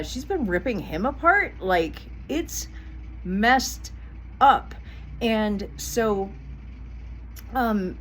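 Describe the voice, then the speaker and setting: A woman's voice: mid-range voice, a little hiss or hum, rough recording. A middle-aged woman giving a casual commentary vlog, blunt and indignant, swearing freely. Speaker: vlogger.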